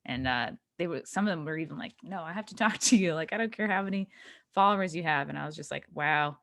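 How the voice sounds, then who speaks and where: A woman's voice: sits low, fast talker, fairly bright tone, no room sound. A woman hosting a podcast, chatty and wry, with lots of filler words. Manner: chatty, wry, full of like and you know. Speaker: podcast host. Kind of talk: casual podcast conversation.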